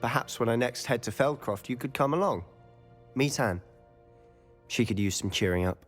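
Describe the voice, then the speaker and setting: Voiced by a man, a charming young wizard talks in an eager, curious voice. His pitch rises and falls animatedly as he confides in a friend. Faint music plays under the game cutscene. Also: animated, normal speed, close to an everyday voice.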